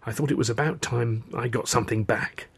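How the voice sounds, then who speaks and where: A man's voice: mid-range voice, brisk, gravelly, breathy edge. A man voicing a loving but weary and firm young woman in audiobook dialogue, speaking earnestly and pointedly. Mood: bitter, defensive.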